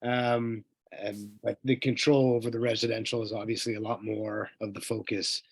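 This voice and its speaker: A man's voice: fairly low pitch, flat delivery, normal speed, husky, dry recording. A man chairs a council meeting from a dry, close-sounding spot. He is polite and hesitant, full of small apologies and restarts as he moves through the agenda. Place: council chamber.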